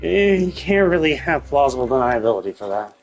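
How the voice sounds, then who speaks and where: A man livestreams a game with an informal, conversational delivery at a middling pitch. He rambles through his reactions as casual commentary, with music playing faintly behind his voice. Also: big pitch swings, a little fast, poor audio.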